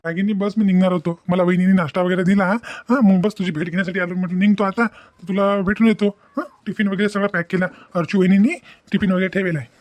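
Devoted young husband, male performer, cartoon drama, dry close sound, quick earnest delivery, Varhadi Marathi accent. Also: high-pitched, steady tone, a little mellow, no room sound, lightly acted. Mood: gentle, casual. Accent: Maharashtrian, Varhadi Marathi. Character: devoted, considerate young husband musing to himself. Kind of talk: cartoon family drama monologue and dialogue.

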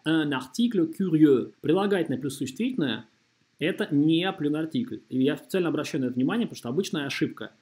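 A man's voice: a little high, darkish tone. A man giving a language lesson as a teacher, explaining didactically and carefully modelling pronunciation. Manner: didactic, drawing out model phrases syllable by syllable. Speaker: French teacher. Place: voice-over on a screen recording.

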